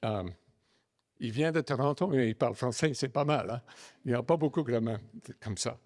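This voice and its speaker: A man's voice: mid-range voice, a little fast, a little mellow. A man giving a bilingual welcome address as a donor, with informal, joking asides. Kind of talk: bilingual English and French address. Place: reception room at a faculty club.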